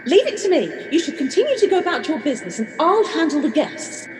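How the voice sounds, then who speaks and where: A woman's voice: high-pitched, very animated, fast talker, a little mellow. An actress playing a haughty, commanding, dismissive woman in charge of a hotel in an audio drama. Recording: echoey reverberant hall, music behind.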